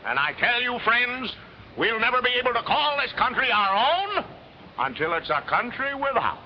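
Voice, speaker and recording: An actor playing a hateful American soapbox rabble-rouser in a 1940s film, haranguing a crowd with impassioned demagogy. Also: male voice, extremely high pitch, sing-song, normal speed. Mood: rousing. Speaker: street-corner agitator. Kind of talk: 1940s film acting, public speech. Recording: dull, faintly noisy 1940s film soundtrack.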